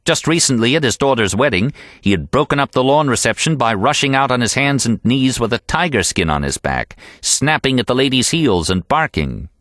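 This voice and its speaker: A man reading an audiobook in an expressive, animated storytelling style. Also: mid-range voice, fairly quick, few pauses, dry recording, clean studio sound. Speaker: audiobook narrator. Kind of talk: expressive scripted audiobook narration.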